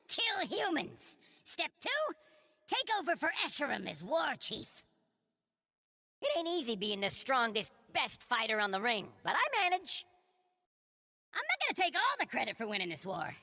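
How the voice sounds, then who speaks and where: A woman playing an ambitious, boastful little alien warrior in a video game, gravelly, eager and self-important, with long reverb. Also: sits high, huge pitch swings, a little slow, pushed and bright, heavily acted. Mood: grandly boastful. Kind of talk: video game character monologue.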